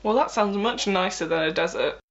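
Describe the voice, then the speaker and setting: A woman's voice: mid-range voice, brisk, vocal fry, highs cut off. A woman playing a sassy, sceptical and curious travelling companion in an audio drama. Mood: teasing.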